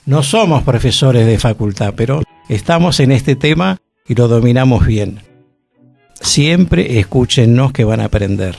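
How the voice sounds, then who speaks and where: A man's voice: medium pitch, lively intonation, measured pace, creaky, a little mellow, faint music behind. A man with an Argentine accent, hosting a radio talk show and chatting conversationally about theater. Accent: Argentine Spanish. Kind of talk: casual radio discussion. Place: radio studio.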